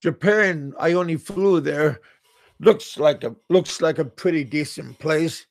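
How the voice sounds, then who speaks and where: Slow, halting man from Alaska. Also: a little high, vocal fry, dry recording. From Alaska, USA. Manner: halting, repeats words and restarts often. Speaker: livestream host. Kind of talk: casual live-chat talk reading viewer comments.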